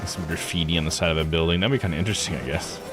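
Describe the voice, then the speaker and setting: A man's voice: sits low, racing. A man doing a casual let's-play, thinking aloud as he plays. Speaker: video game streamer. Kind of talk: casual let's-play commentary, reading game text aloud. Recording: game music under the voice. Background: video game music.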